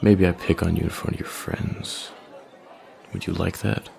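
Male actor as menacing young bully, boyfriend ASMR roleplay, low pitch, thick gravelly creak, taunting, deliberate, smug. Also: flat delivery, darkish tone, a faint crowd. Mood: taunting threat. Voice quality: deep, gravelly, breathy at line ends. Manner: taunting, deliberate, drawling threats.

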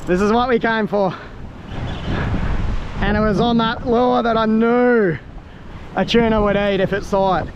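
A young man giving excited running commentary while fighting a fish on sea rocks, straining as he goes, recorded on a head-mounted camera. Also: high voice, a little slow, pauses often, dull audio. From Australia. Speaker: fishing vlogger. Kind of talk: live commentary while fishing. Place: outdoor sea rocks by crashing surf. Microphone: head-mounted action camera. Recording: wind and surf noise on camera mic.

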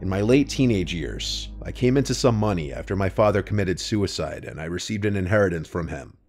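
Low-voiced man narrating hushed horror. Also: lively intonation, brisk. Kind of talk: scripted first-person horror narration. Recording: soft music underneath.

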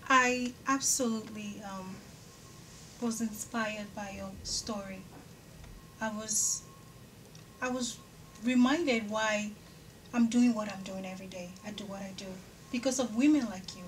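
A woman's voice: mid-range voice, steady tone, measured pace, pauses often, over music. A woman hosting an interview, asking questions with enthusiastic admiration in a showroom. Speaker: interviewer host. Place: indoor fashion showroom. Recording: distant mic, background hiss.

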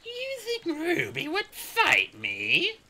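A cruel female dragon queen from a storybook, high and sharp-edged, hissing and purring her taunts, as a male narrator puts her on. Her voice swoops wildly up and down, bright and tense, with a gravelly grain at the bottom. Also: huge pitch swings, slow, heavily acted. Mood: menacing. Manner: hissing, snarling, mocking.

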